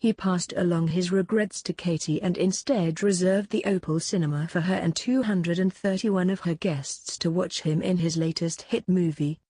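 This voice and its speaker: A woman's voice: lowish voice, dark tone, slightly rough, no echo. A woman reading a scripted feel-good human-interest story as a narrator.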